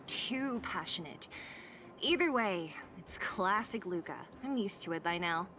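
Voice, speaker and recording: A woman's voice: mid-range voice, animated, a little slow, over music, close to an everyday voice. A woman voicing a no-nonsense young female fighter in a video game, with a dry, sighing, exasperated manner. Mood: weary fondness.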